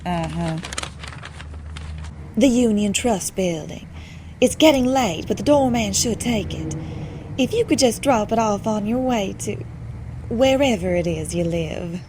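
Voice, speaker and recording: A woman's voice: mid-range voice, expressive, measured pace, long pauses, darkish tone, slight background noise, natural voice. A woman voicing a genteel, whimsical cartoon speakeasy owner in a coy, theatrical manner. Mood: genial, businesslike. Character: genteel, whimsical female cat speakeasy owner, languidly charming.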